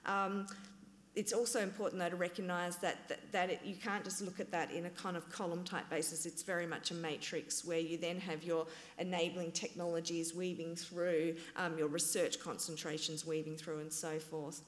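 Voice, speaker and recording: A woman giving a conference talk in a relaxed, hedging way, with frequent "um" and "I guess". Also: on the low side, moderate pace, reverberant, in a large hall. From Australia. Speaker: conference presenter.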